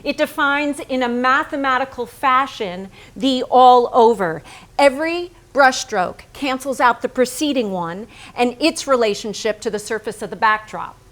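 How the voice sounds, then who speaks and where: A woman acting a cerebral, unflinching painter's wife in a theatrical staged reading, speaking with precise, measured emphasis. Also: high-pitched, expressive, fairly bright tone. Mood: analytical. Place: staged reading in a barn venue.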